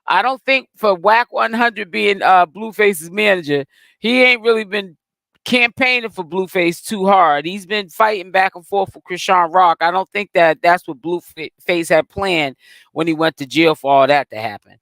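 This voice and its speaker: A man's voice: sits high, normal speed, a bit bright, no room sound. A young man from Boston with a Boston accent, rambling through casual celebrity gossip commentary and correcting himself as he goes. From Boston, Massachusetts. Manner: rambling, self-correcting, streetwise slang.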